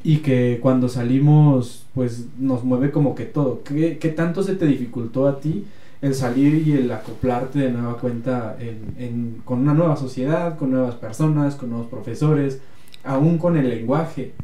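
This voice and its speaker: A man's voice: medium pitch, unhurried, warm tone, recorded from a distance. A man with a Mexican accent interviewing a guest, asking long, rambling questions with many restarts. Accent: Mexican Spanish. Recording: echoey room, voice set back from the mic.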